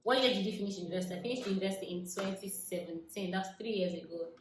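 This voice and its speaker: A young Nigerian woman talks straight to camera in a relaxed question-and-answer vlog. She laughs easily and often lets her voice drop into quiet asides. The room is echoey, with a faint hiss behind her. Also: medium pitch, rapid-fire. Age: 20s. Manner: chatty, laughs often, drifts into soft asides. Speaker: vlogger. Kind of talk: casual Q&A chat to camera.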